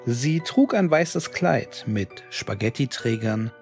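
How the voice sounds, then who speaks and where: A man reading a scripted horror story in a measured, deliberate way. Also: mid-range voice, lively intonation, a little mellow, soft background music. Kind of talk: scripted creepypasta narration.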